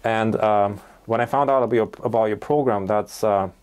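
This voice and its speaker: A man's voice: fairly low pitch, moderate pace, darkish tone, clear, resonant tone. A middle-aged man, hosting a casual studio interview. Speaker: podcast host. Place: small indoor podcast studio.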